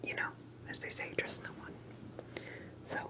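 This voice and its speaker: A woman performing a whispered ASMR roleplay as a secretive, cautious investigator, speaking in a hushed and hesitant way with soft pauses. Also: poor audio. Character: secretive, cautious investigator interviewing an alien abductee.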